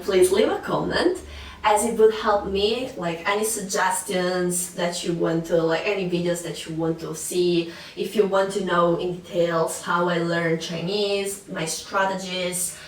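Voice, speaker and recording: A woman's voice: fairly low pitch, slight background noise. A young woman from Sicily with an Italian accent, chatting casually to camera about learning languages, with many fillers and restarts. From Sicily, Italy. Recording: echoey room, voice a bit far from mic.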